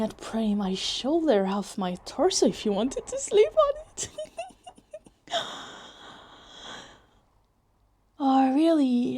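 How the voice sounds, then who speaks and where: Woman acting a high-voiced, possessive, obsessive young woman. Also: warm tone, slight background noise, full character voice. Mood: dreamy, possessive. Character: possessive, obsessive young woman scheming over her crush. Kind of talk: roleplay ASMR monologue, talking to herself.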